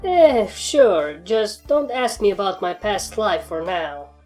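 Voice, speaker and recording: A woman voicing a world-weary alien turned pony in a dry, deadpan, matter-of-fact way for a fan fiction reading. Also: mid-range voice, slow. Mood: dry, resigned. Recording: music behind the voice.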